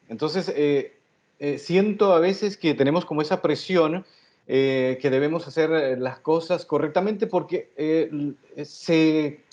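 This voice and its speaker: A man's voice: a little high, darkish tone, muffled recording. A man who works as a journalist, answering a panel question thoughtfully with many hesitations.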